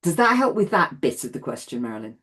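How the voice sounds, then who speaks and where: A woman's voice: low voice, sing-song, fast talker, a bit bright, slightly rough. A woman teaching an online class over a video call, reassuring and often affirming with "yeah". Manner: reassuring, repeats "yeah", self-deprecating laughs.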